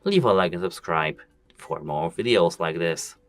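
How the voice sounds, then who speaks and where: Deep-voiced man walking through phone tips. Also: unhurried, a bit bright, dry recording. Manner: explains step by step, repeats "as you can see". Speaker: tech tutorial host. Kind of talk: casual phone how-to walkthrough.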